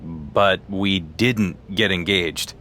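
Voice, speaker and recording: A man's voice: deep voice, extremely slow, pushed and bright, exaggerated voice. An actor voicing an earnest male wrestling champion in a video game cutscene, talking in a plain, wary way.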